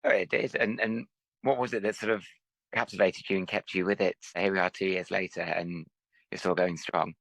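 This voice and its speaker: A man hosting a podcast interview, welcoming his guest and asking polite, measured questions. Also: sits low, fairly even intonation, vocal fry, a bit bright, no room sound. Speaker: podcast host. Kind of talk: interview host.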